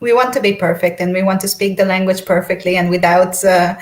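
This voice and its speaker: A woman's voice: lowish voice, steady tone, fairly quick, reverberant. A young woman, a native speaker of Esperanto and Hungarian, chatting as an interview guest, laughing often and restarting her sentences. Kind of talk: casual conversation.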